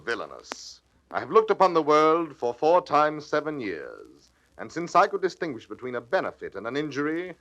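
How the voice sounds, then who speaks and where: An actor playing a cynical, scheming young soldier villain in a classical audio drama, mocking and persuasive in a declamatory stage manner. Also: male voice, medium pitch, bright, forceful tone, poor audio. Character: scheming cynical soldier, male villain, aged twenty-eight. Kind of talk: classical radio-play stage acting.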